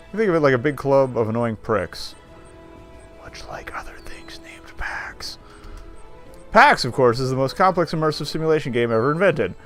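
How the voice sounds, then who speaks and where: A man streaming a visual novel reads the narration aloud in a medium-pitched voice. He is wry and drops sardonic asides. Soft music runs behind him. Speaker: game streamer.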